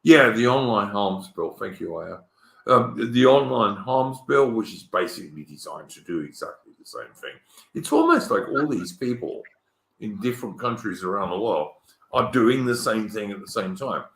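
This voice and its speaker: An English man hosting a casual online political chat, halting and searching for words, with many ums and restarts. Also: fairly low pitch, very animated, vocal fry, fairly bright tone. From England. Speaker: host. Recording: echoey room.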